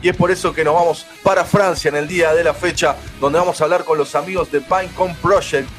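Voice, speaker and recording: A man, hosting a radio show and chatting excitedly over a remote call. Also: a little high, soft background music. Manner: fast, enthusiastic, slangy. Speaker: radio host. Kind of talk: casual radio chat.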